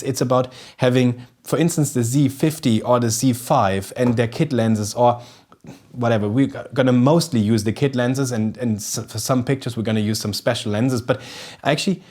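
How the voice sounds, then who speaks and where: A man's voice: medium pitch, a little mellow. A man in his thirties from Germany, speaking English with a German accent as a second language, presenting a casual photography tutorial to camera in a studio kitchen, eager and often restarting as he searches for words. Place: indoor studio kitchen set with festive decorations.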